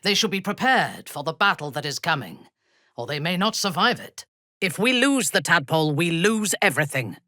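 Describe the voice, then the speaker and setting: An actress playing a haughty, ruthless female dark elf warlord in a video game, imperious and formal, with a breathy, husky voice. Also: lowish voice, animated, no echo, studio quality. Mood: grave, commanding. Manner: imperious, formal, deliberate, coldly menacing. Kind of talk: video game character dialogue.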